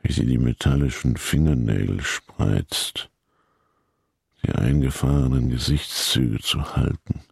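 A man narrating a literary radio drama as a brooding, fatalistic noir storyteller, in measured fragments. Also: a bass voice, creaky, breathy edge, dry recording, studio quality, exaggerated voice. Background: music.

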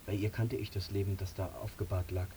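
Actor as guilt-ridden, halting air traffic controller. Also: male voice, low-pitched, little pitch movement, warm tone, natural voice. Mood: haunted. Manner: halting, pleading, anguished. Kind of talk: radio drama acting.

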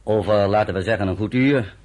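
An actor playing a firm, authoritative underground commander in a radio drama. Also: male voice, fairly low pitch, a little fast, darkish tone, lightly acted. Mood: firm, measured.

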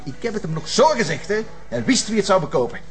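A man's voice: high voice, very animated, fast talker, faint music behind. A man with a Flemish accent playing a menacing, bitter kidnapper who taunts a captive in a television crime drama. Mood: menacing, resentful. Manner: taunting, controlling, sinister.

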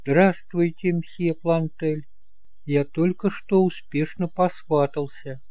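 Мужчина играет искреннего юношу, голос повыше, мягкий. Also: male voice, fairly high pitch, fairly even intonation, slow, warm tone, no room sound, voice pushed up, poor audio. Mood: confident, polite. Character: earnest, determined young man, reassuring nephew and heir. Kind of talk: audiobook drama acting.

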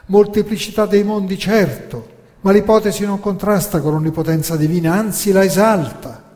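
Man acting a defiant philosopher on trial, raised pitch. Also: fairly even intonation, normal speed, a little mellow, natural voice. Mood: defiant, insistent. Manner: emphatic, argumentative, rhetorical. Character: defiant accused male philosopher arguing before his judges. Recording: long reverberant hall sound.

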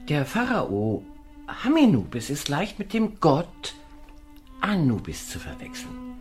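Thoughtful archaeologist's wife, radio play, takes her time, lots of swing in pitch, faint music. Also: big pitch swings, darkish tone. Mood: absorbed, recollecting. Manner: deliberate, explanatory. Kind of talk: German radio drama acting.

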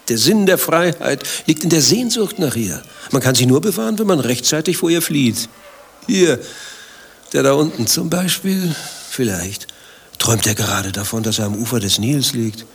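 A man's voice: mid-range voice, expressive, normal speed, gravelly, a little hiss or hum. An actor playing an infatuated married man in a radio play, defensive and given to impassioned philosophizing. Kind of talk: German radio play dialogue acting.

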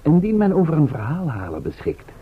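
A man's voice: fairly high pitch, huge pitch swings, fairly quick, slightly rough, exaggerated voice. A man playing a baffled, protesting doctor in a radio play, speaking precisely and with dry sarcasm. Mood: puzzled. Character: baffled, protesting male doctor, the professor's sceptical colleague. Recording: dull old radio recording.

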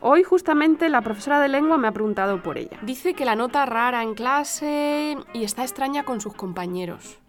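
An actress with a Spain accent playing a gentle, earnest woman, an affectionate partner and confidante, in an audio drama. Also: sits high, lively intonation, normal speed, few pauses, soft background music. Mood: concerned. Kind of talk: audio fiction podcast acting.

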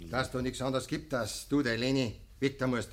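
An actor playing a self-righteous, officious village mayor in a German radio play, speaking insistently in Bavarian dialect. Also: male voice, medium pitch, little pitch movement, moderate pace, lightly acted.

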